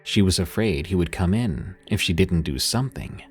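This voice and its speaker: A man narrating a scripted horror story in an expressive storytelling manner. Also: deep voice, normal speed, faint music behind.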